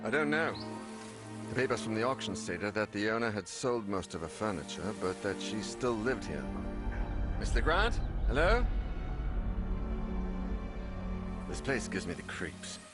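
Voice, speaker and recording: A man playing a haunted, weary investigator in a horror video game, speaking gravely and introspectively. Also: fairly low pitch, sing-song, moderate pace. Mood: uneasy. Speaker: game protagonist and narrator. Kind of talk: dramatic video game dialogue and brooding narration. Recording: loud music under the voice.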